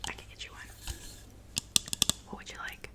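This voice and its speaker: A woman playing a soft-spoken witch in an ASMR roleplay, whispering breathily and unhurriedly, as if to a client close by. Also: close to an everyday voice. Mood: gentle, attentive. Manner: unhurried, intimate, addressing the listener as a client. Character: soft-spoken witch mixing love potions in her cabin.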